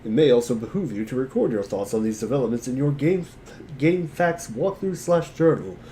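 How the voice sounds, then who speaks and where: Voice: medium pitch, expressive, brisk, mellow, slight background noise. An amateur reader narrating a webcomic in the second person, with a wry delivery.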